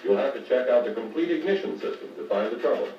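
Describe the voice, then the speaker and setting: An American actor playing a patient car radio service instructor, explaining methodically in a 1950s training filmstrip style. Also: male voice, mid-range voice, lively intonation, fast, over music, lightly acted. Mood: patient, instructive. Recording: muffled, noisy, echoey 1950 record playback, distant mic.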